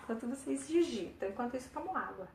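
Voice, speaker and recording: A woman's voice: a little high, expressive, quick pace, a little mellow, a little hiss or hum. A woman, a nutritionist, chatting on her weekly live stream, repeating herself and greeting viewers by name. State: losing her voice. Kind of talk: casual live-stream talk to followers. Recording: echoey room.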